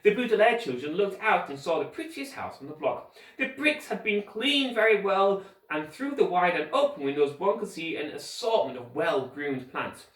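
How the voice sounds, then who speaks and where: Animated male storyteller in an echoey room. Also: high voice, moderate pace. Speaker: teacher reading aloud. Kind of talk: animated scripted storybook narration for children. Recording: roomy echo, distant microphone.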